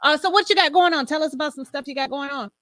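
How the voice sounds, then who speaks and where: Forties female comedy host, bright and crisp on a dry mic, playful banter. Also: sits high, quick pace, no echo. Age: 40s. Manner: chatty, teasing, hypes guests. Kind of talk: casual comedy talk show. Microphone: video call.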